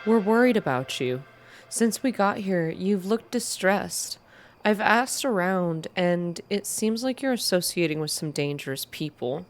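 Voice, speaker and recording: A woman's voice: fairly low pitch, animated, unhurried. A woman voicing an earnest, stubborn young female friend in a story game, with music behind her. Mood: worried.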